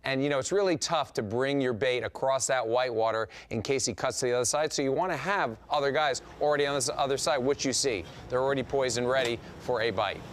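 A man's voice: medium pitch, quick pace, hardly any pauses, slight background noise. A middle-aged man co-hosting a fishing show from a TV studio, casually explaining fishing technique in detail over the footage. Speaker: fishing show co-host, analyst. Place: TV studio set.

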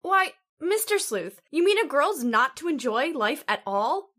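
An actress playing a lively, outspoken young daughter in a radio drama. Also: female voice, sits high, measured pace, exaggerated voice. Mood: defiant. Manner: bright, outspoken.

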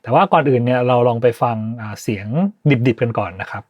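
A man hosting an informal software tutorial, speaking politely and hesitantly as he thinks aloud. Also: mid-range voice, quick pace, no room sound, highs cut off. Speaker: tutorial host, sound designer.

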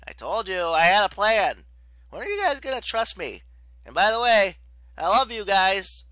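A man voicing a brash, impish teenage boy hero in an audiobook, calling out in a cocky, excited way. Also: sits high, moderate pace, dry recording, voice pushed up, heavily acted, rough recording. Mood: cocky, affectionate. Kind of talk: audiobook character acting.

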